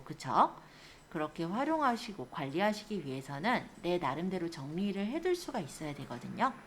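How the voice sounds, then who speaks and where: A woman instructor giving an informal step-by-step software tutorial, explaining things and often checking that learners follow. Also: lowish voice, a little hiss or hum. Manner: explanatory, often checks understanding with tag questions. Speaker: computer skills instructor.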